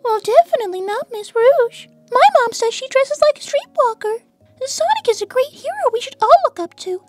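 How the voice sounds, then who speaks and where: Little cartoon rabbit girl: extremely high, swooping, prim and formal, sharp streak, dry recording. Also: lively intonation, brisk, dry recording, faint music behind. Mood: sweet, prim. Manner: sweet, formal, primly disapproving. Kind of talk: in-character cartoon Q&A.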